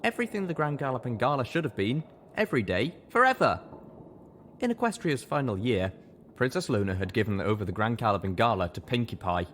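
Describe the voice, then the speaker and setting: A man reading a first-person story aloud as an expressive narrator, with lively delivery. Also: mid-range voice, a little fast, a little hiss or hum. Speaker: narrator of an audiobook fan fiction reading.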